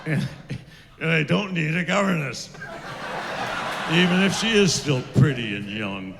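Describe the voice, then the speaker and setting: A man's voice: on the high side, lively intonation, measured pace, vocal fry, big-room sound. A man playing cheeky old Liesel. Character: cheeky old woman Liesel, ninety-one. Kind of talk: live stage comedy skit. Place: theater stage. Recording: far off, loud room noise.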